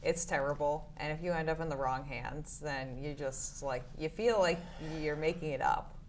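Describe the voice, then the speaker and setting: A woman's voice: sits low, moderate pace, slight background noise. A young woman hosting a podcast, casual and supportive, saying "like" a lot. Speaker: podcast host. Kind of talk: casual interview.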